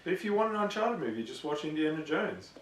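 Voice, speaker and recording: Gaming show co-host: male, lively intonation, casual banter, distant mic in a reverberant room. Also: a little high, quick pace. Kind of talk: casual banter about games and films.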